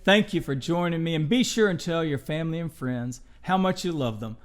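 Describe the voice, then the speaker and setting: A man hosting a children's show, telling stories in an unhurried, expressive way. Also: on the high side. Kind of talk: storybook read-aloud and talk to children.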